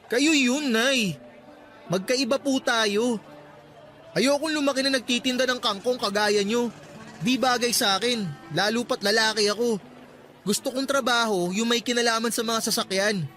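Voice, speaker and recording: A man's voice: very high-pitched, normal speed, bright, forceful tone. A man playing a sulky, complaining schoolboy in a radio drama, whining and grumbling over a music bed. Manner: whiny, grumbling. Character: sulky, complaining Filipino boy, a vendor's schoolgoing son.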